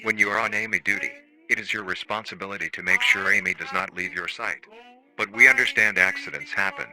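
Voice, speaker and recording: A man playing a formal, matter-of-fact research-facility narrator on an analog horror staff training tape, calmly chilling and euphemistic. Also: low voice, fairly quick, poor audio. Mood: politely menacing.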